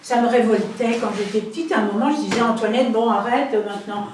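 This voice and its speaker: A woman telling a fond childhood story in a slow, storytelling manner. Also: fairly high pitch, a little mellow, slight background noise. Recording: very echoey hall from far off, music.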